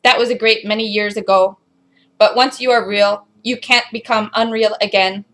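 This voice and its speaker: A woman's voice: mid-range voice, steady tone, moderate pace, fairly bright tone, lightly acted. A woman voicing a robot that reads a children's storybook aloud in a robotic delivery. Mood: mechanical, measured. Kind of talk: scripted storybook narration.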